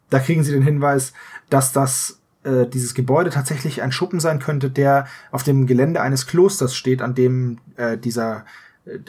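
A male podcast co-host with a slightly husky, mid-pitched voice. He talks casually and analytically, walking through a story step by step and correcting himself along the way. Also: darkish tone. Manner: analytical, explaining in detail with self-corrections. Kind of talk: casual discussion retelling a story.

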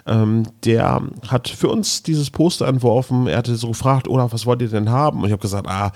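A man's voice: medium pitch, brisk, a little mellow. A man co-hosting a podcast in casual, conversational chat. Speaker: podcast co-host.

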